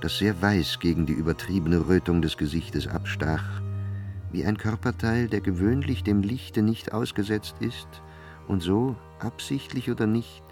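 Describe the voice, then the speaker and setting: An actor as an urbane literary narrator in a radio drama, measured and dryly ironic. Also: male voice, on the low side, a little mellow, faint music behind.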